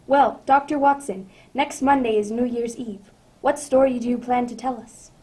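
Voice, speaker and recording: An affable radio host played by a woman in the old-time announcing manner. The voice is a little high, with a soft, mellow tone. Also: fairly even intonation, moderate pace, close to an everyday voice. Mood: friendly, curious.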